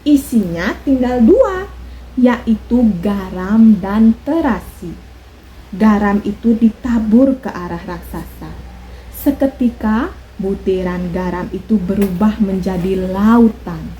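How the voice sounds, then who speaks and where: A woman telling a children's folk tale in a slow, expressive storytelling manner. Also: medium pitch, slight background noise, low-quality recording.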